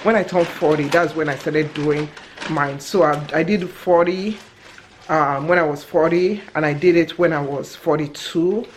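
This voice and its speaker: A woman's voice: low-pitched, moderate pace, a little hiss or hum. A woman in her forties casually vlogging to camera from her home kitchen, chatty and self-correcting, laughing at herself. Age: 40s. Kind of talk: casual talking-to-camera vlog. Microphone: camera held at arm's length.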